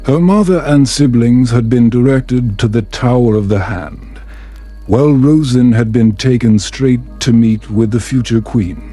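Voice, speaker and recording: A man reading a scripted bedtime story as a narrator, in a calm, measured, soothing way. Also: mid-range voice.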